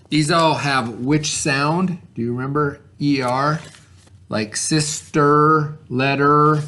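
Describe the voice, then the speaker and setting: Man, primary teacher type, unhurried and patient, question-and-answer phonics delivery, faintly noisy recording. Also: mid-range voice, a bit bright. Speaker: elementary school teacher. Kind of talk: read-along spelling lesson for children at home.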